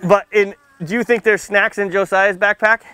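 A man's voice: sits high, steady tone, moderate pace, pushed and bright, clear, resonant tone. A man speaking outdoors as a firefighter presenting to children, in simple, playful language with frequent questions and check-ins. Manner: asks questions, checks in often with "okay" and "right"; playful teasing. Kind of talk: simple interactive safety talk for kids. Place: outdoors under a tent canopy beside a building, small seated audience.

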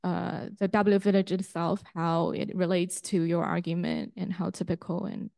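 A woman asks a polite academic question from an audience. Her voice is slightly low and stays very even in pitch, with a dry, close sound. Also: normal speed, creaky, no room sound. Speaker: audience member asking a question.

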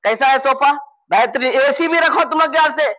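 A man in his thirties with a Hyderabadi accent, showing a farm guest house in a lively, joking promotional walkthrough, filmed outdoors and indoors. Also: extremely high pitch, moderate pace. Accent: Hyderabadi Hindi-Urdu. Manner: lively and joking, often calling out to viewers. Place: outdoor garden and indoor hall.

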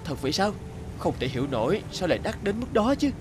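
A man's voice: sits high, very animated, fast talker, noisy background. A man voicing a disgruntled, condescending young husband and breadwinner in a cartoon. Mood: incredulous. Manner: grumbling, condescending. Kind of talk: animated moral-tale voice acting.

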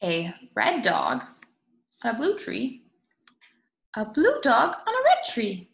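A woman in her twenties reading a picture book aloud to young children in an expressive way, with drawn-out words. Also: a little high, vocal fry. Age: 20s. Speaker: storybook reader. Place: small room at home, indoors. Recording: echoey room.

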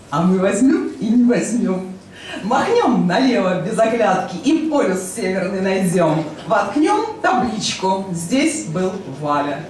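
A woman's voice: medium pitch, a little mellow. A woman in her thirties reciting poetry on stage in a playful, theatrical way. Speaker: poet. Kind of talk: expressive live poetry recital. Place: rock club stage, indoors. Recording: very echoey club, noisy, distant pickup.

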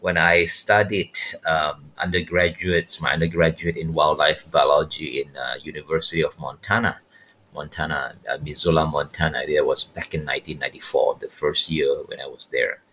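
A man in his fifties with a Malaysian accent, a guest telling his story in a podcast interview, halting and repeating words as he searches for them. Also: very deep voice, little pitch movement, sharp tone, a little hiss or hum. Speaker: guest, wildlife biologist.

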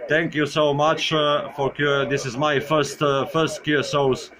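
A man making a friendly amateur radio contact, halting and repeating his words. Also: on the high side, flat delivery, noisy background. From Croatia.

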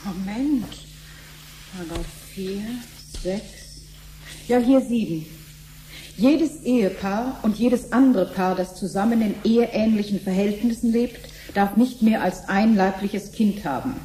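An actress playing a subdued office secretary, formal and matter-of-fact, in a radio drama. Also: female voice, mid-range voice, unhurried, warm tone, lightly acted. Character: dutiful, meek female office secretary reading out regulations. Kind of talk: 1970s German radio drama acting. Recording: roomy, slightly noisy old radio recording.

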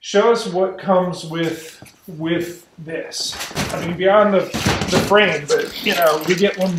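Young male host, casual shop talk, thinks out loud, roomy garage sound. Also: sits high, steady tone, slow, background noise. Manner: thinking aloud, restarts often. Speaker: channel host. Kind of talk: casual chat in a workshop. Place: open garage workshop.

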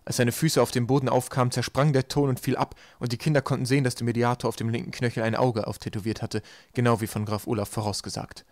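A man's voice: mid-range voice, flat delivery, quick pace, hardly any pauses, no room sound. A man reading scripted storybook narration as an audiobook narrator.

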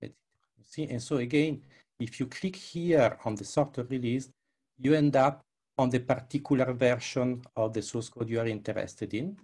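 An older man with a husky, creaky voice presents a software demo over a video call. He speaks English as a second language and often restarts or repeats his words as he explains. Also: mid-range voice, moderate pace. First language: not English. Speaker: researcher presenting his work. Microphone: headset.

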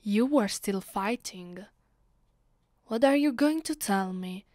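A woman with an Italian accent playing a haughty, cold vampire mistress in a hushed ASMR roleplay, sometimes slipping into whispers. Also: medium pitch, animated, dry recording, clean studio sound. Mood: scornful, mocking. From Italy. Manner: slow, hushed, haughty delivery with whispered phrases. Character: haughty, cold female vampire mistress punishing her servant. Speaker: voice actress.

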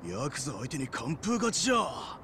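An actor playing a friendly middle-aged amateur ballplayer with a Hiroshima accent and a folksy, colloquial manner in a video game drama. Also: male voice, mid-range voice, big pitch swings, normal speed, pushed and bright, slight background noise. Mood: friendly, animated.

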